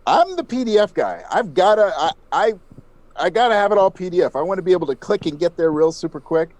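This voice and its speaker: A man's voice: high voice, very animated, fast, a little mellow, no echo. A man chatting casually as an interview guest, speaking with enthusiasm and expression. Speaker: interview guest, podcast creator.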